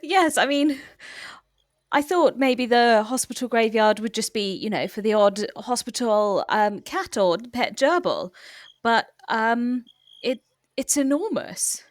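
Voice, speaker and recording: A woman's voice: on the high side, animated, slow, no room sound, studio quality. A woman playing a polite, flustered young woman at a hospital, hesitant and stammering, in improvised comedy audio drama. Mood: hesitant, curious.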